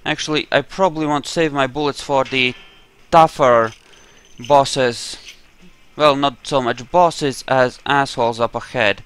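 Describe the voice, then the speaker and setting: A man's voice: medium pitch, steady tone, a little slow, fairly bright tone, clean tone. A man casually narrating his own video game playthrough, thinking aloud in an offhand, sweary way.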